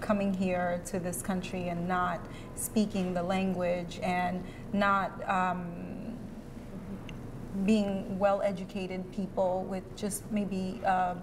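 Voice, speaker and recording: Flat-toned woman from Haiti. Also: on the low side, takes it slowly, background noise. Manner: earnest, steady, hesitant fillers. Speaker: poet and educator. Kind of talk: interview answers.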